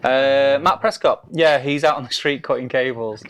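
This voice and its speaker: A man hosting a casual live stream in a friendly, upbeat way, turning often to speak straight to his viewers. His voice sits at an ordinary middle pitch for a man. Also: normal speed, crowd noise. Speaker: host of a wargaming stream.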